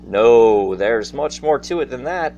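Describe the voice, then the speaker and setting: A man with a very lively, swinging pitch tells stories casually, as if to a gathered audience. His delivery is folksy and theatrical, speaking straight to the listener. There is faint noise in the background. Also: a little slow, highs cut off. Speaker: storyteller. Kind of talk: casual personal storytelling monologue to an audience.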